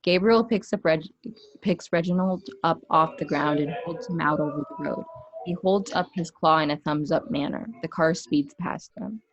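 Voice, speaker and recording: A woman's voice: sits low, moderate pace, highs cut off. A woman narrating a script's stage directions at a table read.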